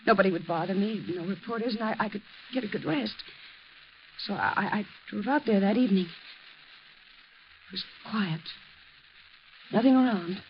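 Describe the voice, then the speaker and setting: An American actress playing a terrified, haunted young woman in a 1950s radio drama, halting and stammering breathlessly. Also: mid-range voice, close to an everyday voice. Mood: anxious, haunted. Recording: muffled, noisy vintage radio recording.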